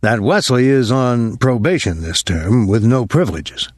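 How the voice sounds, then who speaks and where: A man voicing a nervous, apologetic older military academy colonel in an audiobook, speaking formally and haltingly with some embarrassment. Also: medium pitch, very animated, no room sound, clean studio sound. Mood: uneasy, grave.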